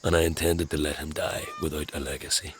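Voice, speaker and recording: A man playing a troubled, wry scavenger in a dark fantasy audio drama, gravelly and husky, with a hesitant, halting delivery. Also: very deep voice, little pitch movement. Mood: brooding confession. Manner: hesitant, halting, dryly sarcastic. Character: gruff, troubled young male scavenger with inherited powers, wry.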